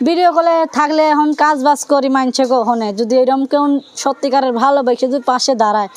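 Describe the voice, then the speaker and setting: A young woman speaks quickly in a fairly high voice. Her speech is colloquial Bangladeshi, plain and unpolished. She tends to repeat herself as she answers in an informal interview. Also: a little hiss or hum. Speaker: interviewee telling her life story.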